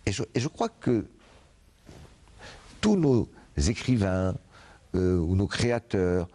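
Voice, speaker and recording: Older man hosting, low mellow voice. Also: sing-song, takes it slowly. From Algeria. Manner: hesitant, many "euh" fillers, thinking aloud. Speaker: TV host. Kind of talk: studio interview. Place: TV studio set, indoors.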